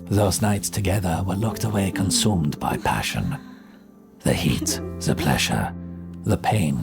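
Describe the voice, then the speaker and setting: A man's voice: deep voice, animated, measured pace, creaky, over music, full character voice. A man voicing a purring vampire prince. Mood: seductive. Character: seductive aristocratic male vampire prince. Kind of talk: ASMR audio drama acting.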